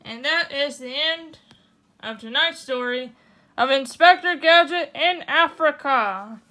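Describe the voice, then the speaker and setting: A woman hosting a bedtime story show, reading a picture book aloud with animated asides. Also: sits high. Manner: slow, animated, comments between passages. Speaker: storyteller host.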